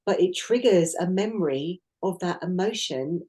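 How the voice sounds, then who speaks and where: A woman's voice: fairly low pitch, lively intonation, moderate pace, warm tone, breathy edge. A middle-aged woman co-hosting a podcast, talking in an earnest, reflective way, often restarting her sentences. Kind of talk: casual conversational podcast.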